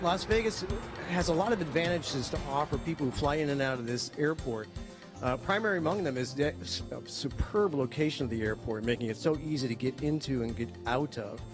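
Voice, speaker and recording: A man giving a casual congratulatory speech at an award event, filling pauses with "uh". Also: medium pitch, music in the background. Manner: casual, with many "uh" fillers. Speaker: guest speaker presenting an award.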